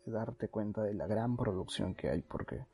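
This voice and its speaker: A man co-hosting a podcast in a casual, halting way, with frequent false starts. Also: lowish voice, expressive, creaky, warm tone, breathy edge. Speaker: podcast co-host.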